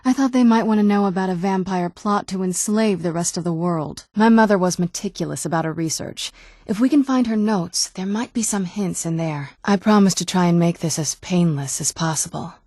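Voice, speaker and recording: A centuries-old yet youthful vampire woman voiced by an actress for a fantasy video game. Her voice sits fairly low and is recorded close and dry. She talks in a measured, conversational way, guarded and wistful, with wry understatement. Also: mellow, dry recording, natural voice. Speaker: video game companion character. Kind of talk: video game dialogue acting.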